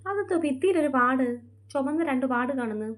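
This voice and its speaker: A high-pitched, quick-talking mother, acted out by a woman, who nags and scolds her sleepy daughter. She fusses constantly and hurries along. It sounds like a home-made family skit. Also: lively intonation, a little hiss or hum. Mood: fussing. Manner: fussy, nagging, scolding. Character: busy mother who runs a beauty parlour.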